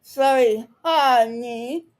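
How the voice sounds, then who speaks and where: A woman giving blunt, combative unscripted commentary in halting, broken sentences, often swearing. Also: a little high. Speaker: online commentator reacting to another speaker. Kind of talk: unscripted live reaction commentary.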